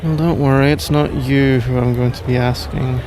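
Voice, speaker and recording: A man with a British accent playing a suave, suspicious and powerful mafia crime lord in an intimate ASMR roleplay, measured, self-assured and teasingly condescending. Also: mid-range voice, flat delivery, close to an everyday voice. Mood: cool, guarded. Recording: noisy bar-like crowd ambience behind.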